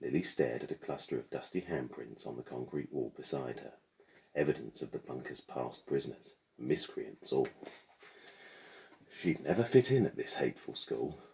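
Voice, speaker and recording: Very deep, gravelly male storyteller. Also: expressive, moderate pace, dark tone. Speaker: teacher reading aloud to pupils. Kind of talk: expressive storybook narration.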